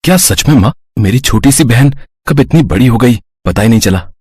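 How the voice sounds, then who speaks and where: Man acting a husky, animated family man. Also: mid-range voice, moderate pace, dry recording, studio quality. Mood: surprised. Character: male relatives in a family story, mild and admiring.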